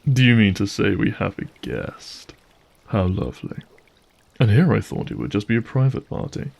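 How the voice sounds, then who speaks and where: An actor playing a suave, menacing vampire leader in an audio drama, husky and gravelly, with formal, silky politeness. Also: male voice, lowish voice, very animated, darkish tone, heavily acted. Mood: silky menace. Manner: formal, silky, measured politeness with veiled threat.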